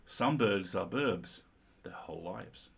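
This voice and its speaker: A man's voice: sits low, lively intonation, takes it slowly, creaky, slightly rough. A middle-aged man co-hosting a livestream, reading a humorous article aloud with wry, playful and sweary asides.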